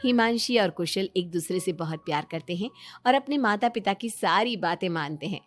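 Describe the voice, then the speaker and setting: A woman's voice: medium pitch, animated, moderate pace. Woman narrating a moral story.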